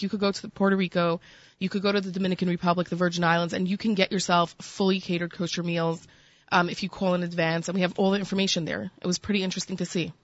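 A woman's voice: fairly low pitch, a bit bright, no room sound, dull audio. A middle-aged woman chatting informally and agreeably as an interview guest. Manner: agreeable, informal, repeats affirmations. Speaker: food magazine guest being interviewed. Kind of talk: casual chat. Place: studio.

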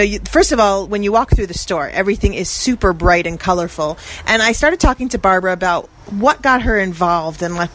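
A woman's voice: mid-range voice, fairly bright tone, poor audio. A woman interviewing a shop owner in her store, chatty and enthusiastic. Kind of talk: casual interview. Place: gift shop.

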